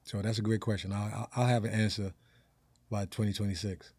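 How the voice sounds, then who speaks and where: An American man, a stand-up comedian guesting on a podcast, talking casually in slang and thinking out loud. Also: on the low side, little pitch movement, fairly quick, husky, no room sound.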